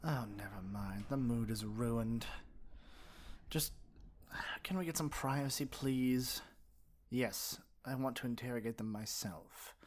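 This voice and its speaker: A man playing a pompous, easily exasperated supervillain in an ASMR roleplay, theatrical and sarcastic with a softer caring side. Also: mid-range voice, natural voice. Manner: pompous mock-grand monologuing that slips into flustered sarcasm. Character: theatrical gloating male supervillain, exasperated, secretly caring nemesis.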